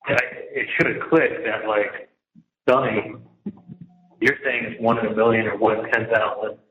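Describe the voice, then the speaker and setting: A man's voice: fairly low pitch, fairly even intonation, measured pace, faint music behind. A man talking casually and at length on a jail phone call, emphatic and repetitive, often trailing off mid-sentence. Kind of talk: casual, rambling private phone conversation. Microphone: telephone handset. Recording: muffled, noisy, echoey jail phone line.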